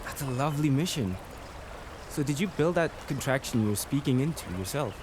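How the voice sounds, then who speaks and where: Friendly, inquisitive local man played by an actor, very animated pitch, radio-drama dialogue over noisy watery ambience. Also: normal speed, natural voice. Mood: friendly curiosity. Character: friendly, curious local man of a river cliff city.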